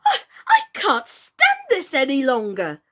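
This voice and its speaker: A woman's voice: sits high, huge pitch swings, a little slow, fairly bright tone, full character voice. A woman voicing a polite but spirited young girl in a storybook reading. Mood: fierce, fed up.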